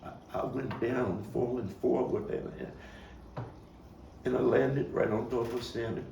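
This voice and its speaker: Guy acting haunted brooklyn man doing a stage monologue about his childhood, really slow n halting, mic far off in a roomy space, bit of noise. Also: mid-range voice, creaky, mellow. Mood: anguished. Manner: halting, deliberate, with repeats and weighty pauses. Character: Brooklyn man recalling a haunted, violent 1950s boyhood. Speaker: actor and playwright reading his own monologue. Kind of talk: dramatic monologue at a table read. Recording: roomy, distant mic with faint background noise.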